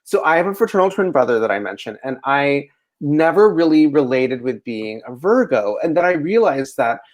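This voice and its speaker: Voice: medium pitch, sing-song, normal speed, a little mellow. Tentative interviewer in casual conversation. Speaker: interviewer relaying audience questions.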